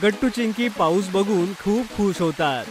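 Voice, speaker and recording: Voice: medium pitch, animated, unhurried. Children's story narrator over faint music.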